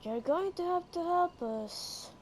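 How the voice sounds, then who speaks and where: A woman's voice: extremely high pitch, animated, slow pace, background noise, exaggerated voice. An actress playing a composed, polite young female traveler in an English video game. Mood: businesslike. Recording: music behind the voice. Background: game music.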